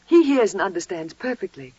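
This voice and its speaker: A woman's voice: medium pitch, expressive, brisk, warm tone, slightly rough. An actress playing a devout new mother in 1940s radio drama, earnest and solemn, firm and full of reverent wonder. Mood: calm, earnest. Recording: muffled old 1940s radio recording.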